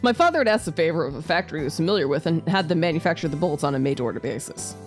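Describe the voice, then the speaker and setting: A woman streams a visual novel, reading its narration aloud in a casual way. Her voice is slightly low, with animated intonation. Soft music plays behind her. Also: very fast. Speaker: VTuber streamer.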